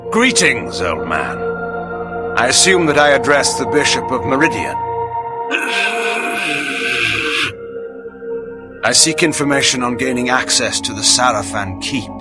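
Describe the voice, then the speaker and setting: An actor voicing an arrogant, menacing vampire who speaks in a haughty, formal, commanding manner in a video game. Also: male voice, mid-range voice, big pitch swings, moderate pace, loud music behind the voice, natural voice. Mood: coolly imperious. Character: arrogant, menacing male vampire, imperious and threatening. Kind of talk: theatrical video game voice acting.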